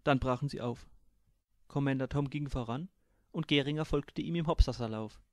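A man's voice: mid-range voice, normal speed, no echo, clean studio sound. A man reading his own humorous audiobook aloud, narrating and giving each crew member a voice.

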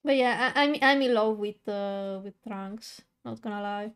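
A woman's voice: medium pitch, measured pace, clean tone. A young woman streaming while coloring, chatting casually to her viewers, with a stutter and many fillers and restarts. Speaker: VTuber streamer.

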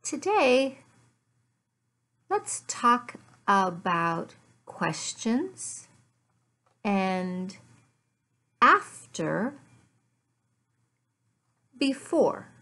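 Very slow-talking American woman teaching. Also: medium pitch, very animated, sharp tone. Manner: deliberate, simple short phrases with pauses and repetition. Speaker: English teacher. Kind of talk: beginner language lesson, addressed to learners. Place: small furnished living room at home.

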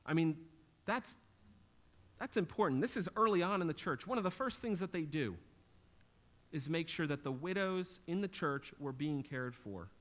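A man's voice: on the high side, animated, moderate pace, fairly bright tone. A man in his thirties preaching a sermon in a small church, explaining scripture in an earnest teaching tone that lifts for emphasis. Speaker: pastor. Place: small church stage with drum kit.

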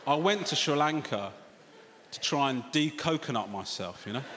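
Animated stand-up comedian. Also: male voice, mid-range voice, normal speed, heavy background noise. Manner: animated, confiding, swears freely. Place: large theatre stage. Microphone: handheld stage mic. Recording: echoey hall with loud audience laughter.

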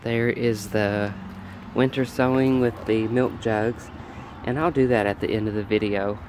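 A man's voice: lowish voice, steady tone, a little slow, background noise. A man with a nasal, allergy-congested voice chats casually as he films a walk through his backyard garden. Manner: chatty, thinking aloud while filming. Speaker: garden vlogger.

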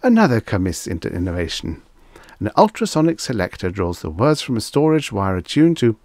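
A man's voice: on the low side, very animated, fairly quick, a little mellow, no room sound, studio quality. A man voicing a fussy, deferential salesman in an audiobook story, anxious to please and formally wordy. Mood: apologetic, proud. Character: eager, anxious, fussy salesman clerk, deferential and long-winded.

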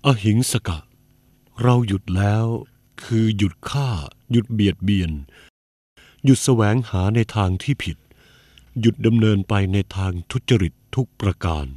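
An actor voicing a calm, serene male spiritual teacher in an animated cartoon, speaking in a measured, solemn way. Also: lowish voice, no room sound.

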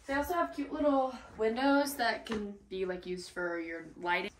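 A woman's voice: on the high side, lively intonation, normal speed, a little hiss or hum. A young woman chatting casually into her phone as she films a travel vlog, bubbly and talkative. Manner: bubbly, chatty, says "cute little" a lot. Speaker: vlogger. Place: outdoors on ski slopes and snowy streets, and indoors in a rental house. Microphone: phone held at arm's length.

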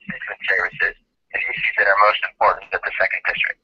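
A man's voice: sits low, fairly even intonation, fast, sharp tone, slightly rough, no echo. A man's recorded office greeting and voicemail prompt, formal and scripted, heard over a phone line. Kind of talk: automated voicemail message.

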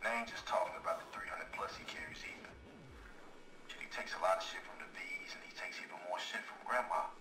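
A man's voice: deep voice, quick pace, reverberant, music in the background, lightly acted, rough recording. A man playing an ambitious, brooding young American street gang boss in a video game, swaggering, slangy and profane. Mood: bitter, brooding. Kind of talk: audio diary monologue, game voice acting.

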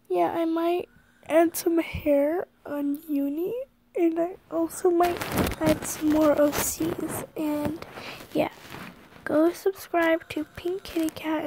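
A young girl who is sick and losing her voice chats haltingly while showing a drawing on her phone at home. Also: high voice, background noise. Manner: rambling, halting, with throat clearing. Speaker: drawing app vlogger. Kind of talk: casual screen-recorded chat. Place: bedroom at home, brother snoring nearby.

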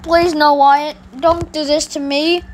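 Whiny little cartoon boy begging, a woman doing it, highish voice, slow. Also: level tone, slight background noise. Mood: pleading. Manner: whining, begging protests. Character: whiny young boy, scared and pleading.

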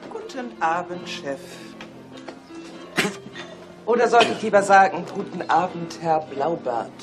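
A woman's voice: fairly low pitch, lively intonation, unhurried, over music. An actress in a German radio drama playing a shrewd, self-assured policewoman who teases her boss. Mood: teasing, confident. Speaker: police officer.

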